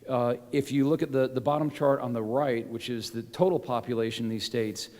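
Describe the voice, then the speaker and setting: A man's voice: medium pitch, steady tone, a little fast, darkish tone. A man giving a conference keynote speech in a folksy, self-deprecating way, with many fillers and restarts. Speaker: keynote speaker. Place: large conference hall. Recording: echoey hall with long reverb.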